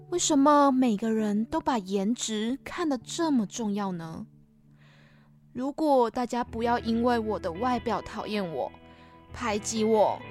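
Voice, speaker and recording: A woman playing a weary eighteen-year-old girl giving an inner monologue in a radio drama. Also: on the high side. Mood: weary, hurt. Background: music.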